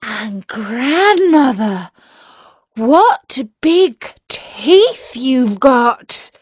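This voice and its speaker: A woman's voice: high-pitched, big pitch swings, slow pace, no room sound, highs cut off, voice pushed up, full character voice. A woman voicing an innocent little girl. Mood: amazed.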